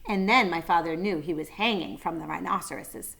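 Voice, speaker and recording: A woman's voice: on the low side, brisk, gravelly, fairly bright tone. A woman reading a children's storybook aloud, adding short asides for young listeners.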